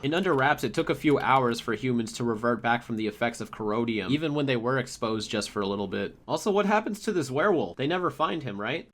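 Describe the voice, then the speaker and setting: Man, casual video reviewer, opinionated, slangy asides, mid-pitched voice, clear background noise and clicks. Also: expressive, fast, hardly any pauses, fairly bright tone. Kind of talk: casual review commentary over cartoon clips.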